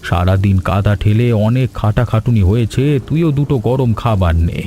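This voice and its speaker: A man playing a fatherly village elder in a cartoon story, grave and caring, with measured, earnest speech. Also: on the low side, mellow, a little hiss or hum, close to an everyday voice. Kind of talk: animated story dialogue.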